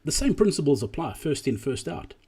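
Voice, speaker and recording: A man giving an explanatory business presentation as a voice-over, speaking deliberately and emphatically and repeating key phrases, with a slightly husky voice. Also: on the high side, very animated, warm tone. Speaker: presenter analysing drive-through performance.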